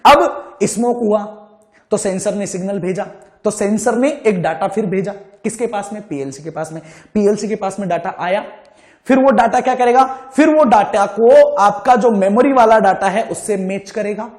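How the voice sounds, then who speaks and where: A man's voice: sits high, moderate pace, a little mellow. A man giving an informal lecture as an engineering teacher, colloquial and often checking understanding with short questions. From India. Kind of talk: informal classroom-style lecture with everyday examples. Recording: echoey room.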